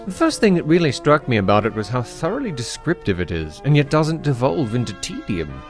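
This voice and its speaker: Wry, animated male guest reviewer. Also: medium pitch, a little fast, soft background music. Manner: wry, verbose, theatrical phrasing. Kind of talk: scripted commentary in a conversational tone.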